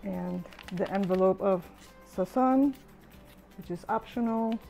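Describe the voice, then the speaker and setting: A middle-aged woman calmly talking through her cooking steps in a chatty home tutorial voice-over. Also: medium pitch, unhurried, creaky, soft background music. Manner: relaxed, chatty step-by-step explaining. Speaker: home cook.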